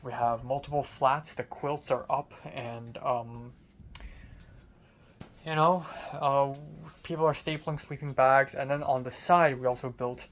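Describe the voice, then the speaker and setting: A young man records a personal vlog diary with a medium-pitched voice. He talks in a loose, conversational ramble, informal and mildly profane, as a student would when recounting the day. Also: fairly even intonation, a little slow, a bit bright, slight background noise. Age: young adult. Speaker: film student vlogger.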